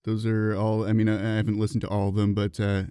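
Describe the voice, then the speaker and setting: Soft mellow guy hosting a podcast interview, lowish voice, dry sound. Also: completely flat delivery, quick pace, clean tone, dry recording. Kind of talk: casual interview chat.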